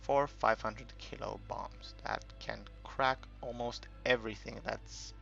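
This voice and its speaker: A man giving casual, unscripted game commentary in a halting, rambling way, as if thinking aloud while he explains things. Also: mid-range voice, fairly even intonation, gravelly, bright, forceful tone. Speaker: gaming video creator narrating a tutorial. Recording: dull lo-fi home recording, music behind.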